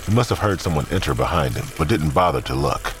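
A man's voice: very deep voice, lively intonation, fast talker, noisy background. A man narrating a scripted audio drama. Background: music.

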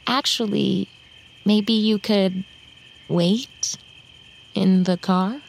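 A woman playing a guarded, uneasy vampire in an audio drama, speaking haltingly and tersely with dry understatement. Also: medium pitch, steady tone, creaky, slight background noise, poor audio. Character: guarded, reticent female vampire, uneasy and dryly sardonic. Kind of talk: naturalistic audio drama acting.

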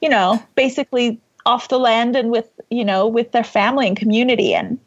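High-voiced woman, dry muffled sound. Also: expressive, a little fast, breathy edge, no room sound. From Iran. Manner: earnest, reflective, self-interrupting with repeated "you know". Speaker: podcast guest, author. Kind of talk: conversational interview answers.

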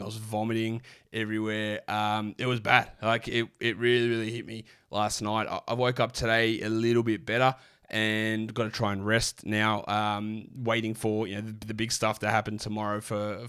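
A man, sick and croaky, hosting a casual basketball podcast with lots of rambling restarts. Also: on the low side, a bit bright. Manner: rambling, chatty, many fillers and restarts. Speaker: fantasy basketball podcast host. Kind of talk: casual solo podcast talk.

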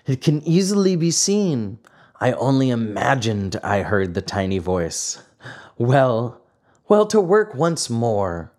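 A man's voice: mid-range voice, sing-song, slow pace, darkish tone. A man voicing an old carpenter who stutters with fright and blusters to hide it. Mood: nervous.

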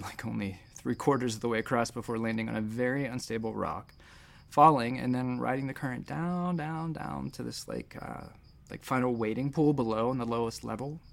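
An actor playing a flustered space doctor in an audio drama, recounting his ordeal in hesitant, conversational asides with a creaky voice. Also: mid-range voice, natural voice. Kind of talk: audio drama dialogue and first-person narration.

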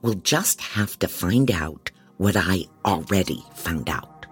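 An actress playing a scattered, jaded woman private detective who narrates bluntly in a deep, husky voice, as in a noir audio drama. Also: expressive, slow pace, soft background music, full character voice. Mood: dry, distracted. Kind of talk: first-person scripted noir narration.

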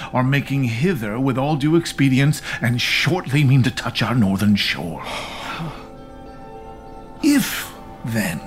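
An actor as Northumberland, a scheming noble. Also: medium pitch. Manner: grave, turns to a hushed whisper. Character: Northumberland, a plotting rebel nobleman. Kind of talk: Shakespearean audio drama.

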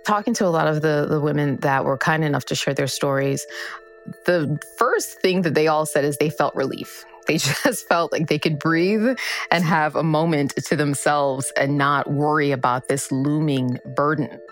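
A woman reporter explaining her reporting in a steady way during a news podcast interview. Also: deep voice, normal speed, faint music behind.

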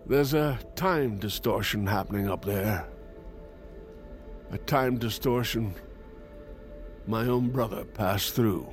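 An actor playing an earnest, uncertain man in an audio drama, speaking in a halting, solemn manner. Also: fairly low pitch. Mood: earnest, resolute. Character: earnest, uncertain young man turned truth-telling broadcaster. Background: clear dramatic music.

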